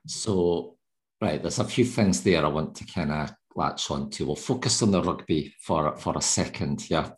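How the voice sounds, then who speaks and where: Very deep-voiced male podcast host. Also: moderate pace. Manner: chatty, teasing, rambling questions. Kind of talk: casual interview chat.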